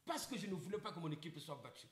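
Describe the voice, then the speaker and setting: Congolese guy ranting on a livestream, high voice that jumps all over, echoey room. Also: very animated, fast talker. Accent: Congolese French. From Republic of the Congo. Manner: emphatic, rhetorical, repeats points. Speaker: livestream guest. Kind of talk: impassioned live talk.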